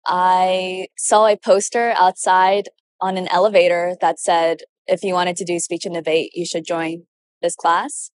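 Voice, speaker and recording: A woman's voice: lowish voice, little pitch movement, measured pace, slight background noise. A young woman in her teens giving casual interview answers as a student speech competitor.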